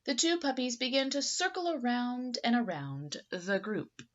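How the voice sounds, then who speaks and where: A woman's voice: on the high side, animated, measured pace, dull audio. A woman reading a children's picture book aloud expressively and theatrically, engaging young listeners.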